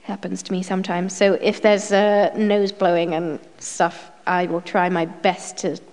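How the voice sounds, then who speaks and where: A woman's voice: on the low side, level tone, measured pace, darkish tone. A woman chairing a literary event in front of an audience at a microphone, her voice strained and snuffly, speaking chattily with frequent ums. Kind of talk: introducing a literary reading. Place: event room with a live audience. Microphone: microphone on a stand. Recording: long hall reverb.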